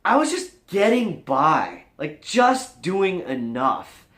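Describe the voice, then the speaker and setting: Earnest man with lively, high-pitched delivery. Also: slow pace, reverberant. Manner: earnest, confessional, emphatic. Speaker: vlog host. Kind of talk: candid motivational vlog talk to camera.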